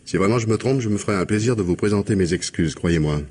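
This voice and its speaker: Low husky sardonic police inspector. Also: male voice, quick pace, a little mellow. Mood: ironic, insinuating. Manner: sardonic, insinuating questioning with polite irony. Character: probing, ironic male police inspector, needling and gallant. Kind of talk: French radio mystery drama acting.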